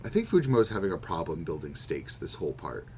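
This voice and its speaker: A man's voice: sits low, wildly swooping pitch, fast, a little mellow, slight background noise. A man co-hosting a podcast, chatting casually and musing with plenty of swearing. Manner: opinionated, musing, swears freely. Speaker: podcast co-host. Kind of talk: casual chat about manga.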